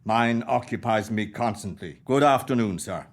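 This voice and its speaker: An actor with an Irish accent playing a grumpy, miserly old moneylender in a radio play, gruff and dismissive. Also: male voice, fairly low pitch, moderate pace, lightly acted. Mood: cold.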